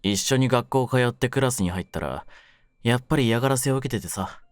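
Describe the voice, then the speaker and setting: A man playing a cynical, wounded young mafia kidnapper in an audio drama, with a low voice and rough, brooding delivery. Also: fairly quick, dry recording, clean studio sound, lightly acted. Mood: grieving, bitter. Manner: rough masculine slang, taunting, brooding delivery. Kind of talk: Japanese situation-voice audio drama, ASMR.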